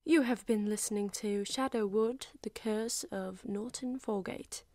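A woman's voice: medium pitch, a little slow, mellow, no echo, studio quality. A woman reading scripted closing credits for an audio drama.

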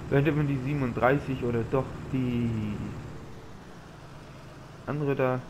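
A man's voice: medium pitch, steady tone, a little slow. Man with a slight cold, rambling. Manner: rambling, thinking aloud with fillers. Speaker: gaming channel host. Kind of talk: casual let's-play commentary. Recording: audible background noise.

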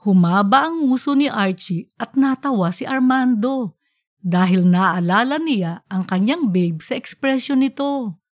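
A woman narrating an audiobook in an expressive storytelling style. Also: on the high side, measured pace, no room sound, studio quality.

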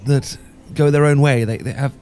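A man's voice: medium pitch, steady tone, normal speed, darkish tone, slight background noise, lightly acted. A man with a British accent playing an awkward, sentimental English professor in a romantic ASMR roleplay, stammering and hesitant. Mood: nostalgic, admiring. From Britain. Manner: stammering, hesitant, full of false starts. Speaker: audio roleplay performer.

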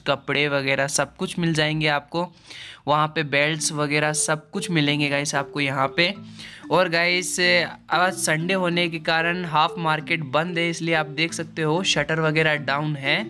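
A man's voice: a little high, pushed and bright. Chatty young man vlogging outdoors. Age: young adult. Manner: chatty, repeats "guys" and "chalo" often. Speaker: travel vlogger. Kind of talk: casual walking vlog narration. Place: outdoors on city streets and a market. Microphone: handheld phone camera at arm's length. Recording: outdoor phone audio with music under it.

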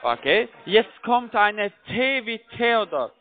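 A man with a distinctly high voice comes through a narrow, tinny phone line. He has a foreign accent in his German and works as a call center tech agent. He gives instructions piece by piece, tagging them with little check questions. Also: sing-song, slow pace. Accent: foreign, non-native German. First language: not German. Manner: repetitive, keeps checking with tag questions.

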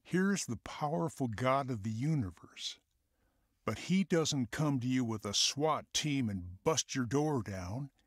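An actor playing a fatherly older mentor with a deep Southern drawl in a radio drama. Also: expressive, no echo, studio quality. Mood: earnest. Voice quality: deep drawl, slow, husky.

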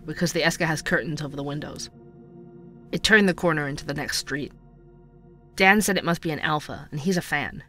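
A man's voice: fairly high pitch, pushed and bright. An actor playing an earnest young male conspiracy podcaster, narrating a scripted audio drama like a storyteller. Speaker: podcast host.

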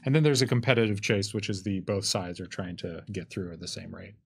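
A man's voice: low voice, steady tone, a little fast, vocal fry, breathy edge. A man in his thirties giving casual explanations as a game designer, in a conversational, hedging way full of asides. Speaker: game designer answering rules questions. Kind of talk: casual explanatory panel talk. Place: indoor studio table with curtain backdrop. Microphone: tabletop microphone on a stand.

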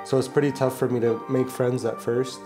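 A man's voice: mid-range voice, flat delivery, a little fast. A young man from Egypt, an esports player, speaking in an easygoing, conversational way in a documentary interview. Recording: background music under voice.

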